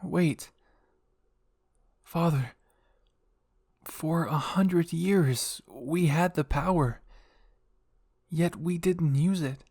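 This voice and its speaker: A man voicing a frightened but defiant young royal woman, halting and emotional, in dramatic fan fiction roleplay. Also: on the high side, a little mellow, breathy edge, dry recording, clean studio sound, pitched above the performer's own voice, exaggerated voice. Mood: anxious, questioning.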